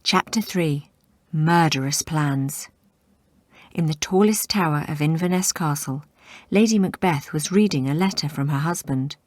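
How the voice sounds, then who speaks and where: A woman's voice: sits low, a little slow, no room sound, clean studio sound. A woman reading a story aloud as a scripted read-along narrator.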